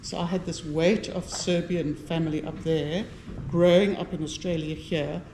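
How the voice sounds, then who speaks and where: A woman's voice: on the low side, steady tone, moderate pace, mellow. A middle-aged woman with a South African accent, giving a personal account as a panellist at a live event. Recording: echoey room with faint noise.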